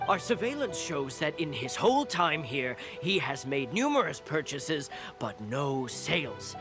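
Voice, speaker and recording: A stern accusing soldier. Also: male voice, a little high, big pitch swings, measured pace, over music. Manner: forceful and accusing. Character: stern male island soldier, accusing and earnest. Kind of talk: video game voice acting.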